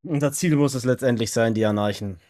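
A man playing a resolute vampire in a role-play audio drama, speaking in a measured, matter-of-fact way. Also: mid-range voice, a little mellow, lightly acted. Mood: firm. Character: Nick, resolute male vampire with a dog.

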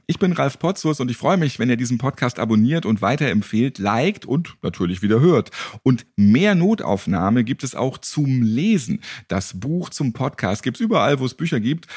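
A man's voice: medium pitch, a little mellow, breathy edge. A man hosting a casual interview podcast with a jokey, irreverent way of talking. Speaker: podcast host. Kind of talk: casual joking interview and sign-off.

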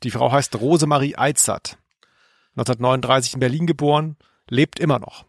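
A man with a mid-pitched, creaky voice co-hosts a podcast. He chats casually and analytically, recorded dry and close. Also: fairly even intonation, fairly quick, dry recording. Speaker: podcast co-host.

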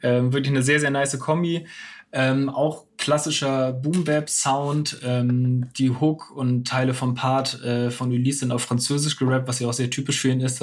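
A man co-hosting a music show, presenting songs in informal commentary. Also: medium pitch. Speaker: co-host presenting new songs.

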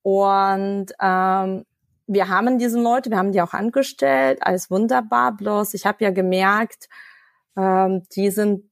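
A woman's voice: medium pitch, fairly even intonation, a little slow, creaky, no room sound. Woman, dry close sound. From Ukraine. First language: Russian. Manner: thoughtful, many fillers and restarts. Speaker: guest, data science expert. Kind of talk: podcast interview.

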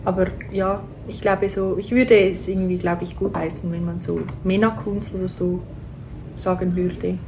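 A woman in her twenties speaks as a podcast guest. Her delivery stays flat in pitch, and she pauses and hedges as she thinks. A faint hiss sits under her voice. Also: poor audio. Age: 20s. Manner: thoughtful, hesitant with fillers. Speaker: guest, theology student. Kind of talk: casual interview.

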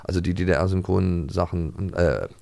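A man's voice: deep voice, measured pace, gravelly, a little mellow, dry recording. A man chatting casually and jokingly as a podcast co-host.

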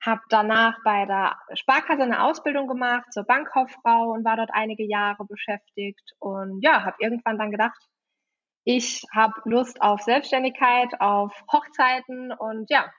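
A woman's voice: fairly high pitch, steady tone, measured pace, fairly bright tone, highs cut off. A woman, a guest in a podcast interview, chatty and giggly, with a bit of a cold. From Germany, Saarland. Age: 20s. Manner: giggly, chatty, many fillers. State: has a cold. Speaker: guest, wedding officiant.

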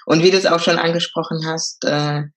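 A woman's voice: sits low, steady tone, a little fast. A woman, telling her story as a podcast guest, hesitant, with many fillers. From Germany. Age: 20s. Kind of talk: casual interview talk.

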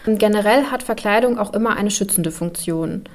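A woman's voice: mid-range voice, a little fast, a little mellow. A woman co-hosting a podcast and explaining things conversationally. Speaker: podcast co-host.

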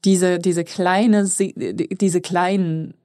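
A woman's voice: on the low side, steady tone, slow, warm tone, no echo, clean studio sound. A woman co-hosting a casual business podcast, explaining things earnestly with many small fillers. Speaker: podcast co-host. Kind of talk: casual chat.

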